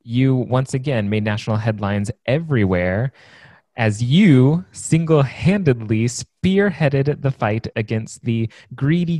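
A male podcast host who introduces his questions with enthusiastic admiration for his guest. His voice is mid-pitched, and the recording is dry and close, with no room sound. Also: warm tone, no echo, rough recording. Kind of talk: casual interview chat.

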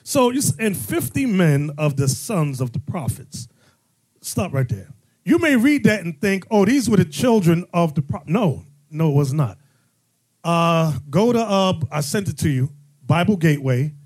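A man's voice: a little high, big pitch swings, a little slow, a little mellow. A man teaching a congregation as bishop, preaching with call-and-response questions and sudden emphatic bursts. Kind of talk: sermon-style teaching.

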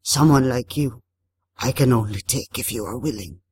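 A woman voicing an ancient vengeful water spirit in a storybook, calm and menacing, with slow, solemn, formal phrasing. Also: a bass voice, husky, no room sound, voice pushed down, full character voice. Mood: calm, coaxing.

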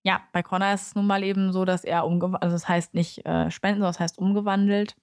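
A woman's voice: medium pitch, little pitch movement, fast talker. Young woman chatting casually about books. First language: German. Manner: informal, self-correcting, candid. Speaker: book reviewer. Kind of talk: casual chatty book review.

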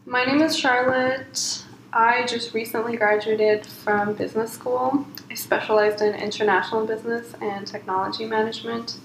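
A woman's voice: on the high side, fairly even intonation, normal speed, slight background noise. A young woman in her twenties, a recent graduate, co-hosting a casual TV recap with brief dry remarks. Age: 20s. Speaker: co-host. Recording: roomy echo.

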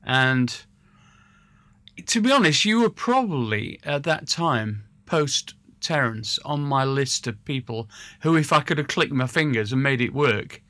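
A man interviewing a guest in a relaxed, rambling way, full of hesitations and restarts. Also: medium pitch, unhurried, no echo. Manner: rambling, hesitant, self-correcting. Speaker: interviewer and musician. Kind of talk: casual conversational interview.

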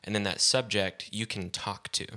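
A man co-hosting a casual tech podcast, explaining in a relaxed way with lots of "like" and "um". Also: on the low side, normal speed. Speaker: podcast co-host.

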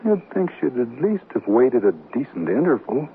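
A man's voice: medium pitch, big pitch swings, moderate pace. A man playing a grumbling but affectionate middle-aged newlywed husband in a 1970s radio comedy drama. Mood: grumbling, indignant. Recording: noisy, narrow-band 1970s radio recording.

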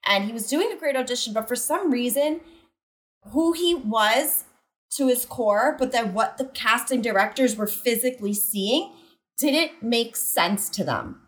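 Woman, casual chat, animated storyteller, agreeable, higher voice. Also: poor audio. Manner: animated, quick agreeing interjections.

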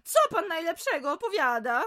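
A woman's voice: very high-pitched, sing-song, normal speed, sharp tone, no room sound, clean studio sound, heavily acted. An actress playing a fearful, pious village woman in an audio drama. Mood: alarmed.

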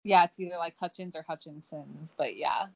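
A woman's voice: low voice, fairly even intonation, moderate pace, creaky, no room sound, muffled recording. A young woman co-hosting a casual gossip chat, wry and giggly, hedging with lots of likes and I means. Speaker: podcast co-host.

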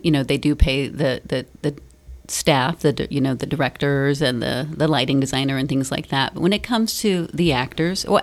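Woman, middle-aged, low-pitched voice, earnest, conversational, rephrases as she goes, studio interview. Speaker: stage play director and university teacher, interview guest. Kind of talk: conversational radio interview talk. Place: radio studio.

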